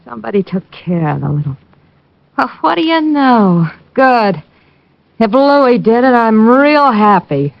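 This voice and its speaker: An actress playing a tough, snappish young nightclub singer in an old radio drama, talking back in street slang. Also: female voice, a little high, very animated, measured pace, creaky, dry recording, full character voice. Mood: bitter. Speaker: nightclub headliner. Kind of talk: 1940s-50s radio drama acting. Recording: dull old radio broadcast recording.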